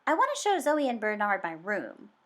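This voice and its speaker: A woman's voice: mid-range voice, very animated, moderate pace, natural voice. A woman voicing an anxious, boastful schoolboy telling his story with dramatic emphasis. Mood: urgent. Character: Sam Wu, anxious boastful schoolboy narrator, easily embarrassed.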